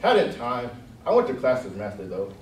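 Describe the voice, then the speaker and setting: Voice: medium pitch, very animated, a little fast, a little mellow, natural voice. A performer in readers' theatre playing a brash, mocking Gryphon creature with blunt, folksy, ungrammatical speech. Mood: matter-of-fact. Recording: distant roomy hall recording, background noise.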